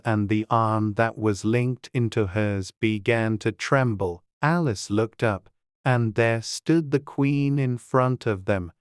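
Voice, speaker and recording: A man reading a scripted storybook narration in a measured way as an audiobook narrator. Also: medium pitch, clean tone, no room sound, studio quality.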